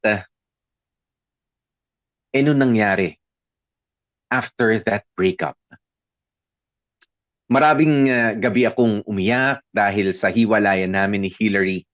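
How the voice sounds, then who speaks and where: An earnest male student with a low, close, dry voice. He narrates his heartbreak in a confessional, hesitant way with a Filipino accent. The radio drama recording is slightly muffled. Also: no room sound.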